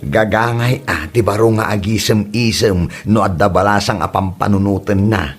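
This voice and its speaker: A man's voice: fairly low pitch, moderate pace, husky. A young man acting in a comedic audio drama, a jokey son who drives a tricycle and laughs often. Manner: lively and teasing, laughs often. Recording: music bed behind the voice.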